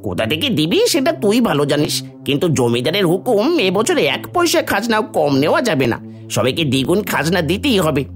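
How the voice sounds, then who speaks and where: A man playing a bullying rent-collecting henchman in an animated folk tale, threatening and impatient. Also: high-pitched, fast talker, faint music behind.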